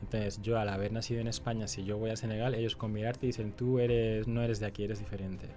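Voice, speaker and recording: A young man from the Canary Islands speaks as a podcast guest, his voice fairly low. He tells his story reflectively, pausing and restarting phrases as he goes. Faint music plays underneath. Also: flat delivery, mellow. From Canary Islands, Spain. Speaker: guest, actor and writer. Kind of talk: conversational storytelling.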